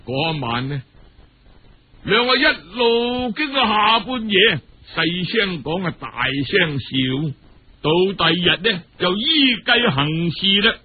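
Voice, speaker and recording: A man telling a traditional story in a colloquial, dramatic, unhurried way. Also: sits high, sing-song, husky. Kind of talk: traditional Cantonese storytelling narration. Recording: muffled, phone-like old radio recording.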